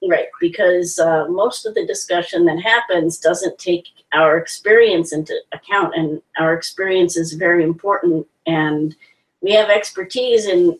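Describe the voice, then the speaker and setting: A woman's voice: lowish voice, far from the mic, poor audio. A woman hosting a discussion, often agreeing with her co-host and then explaining at length. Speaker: host, director of the project. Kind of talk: casual panel discussion.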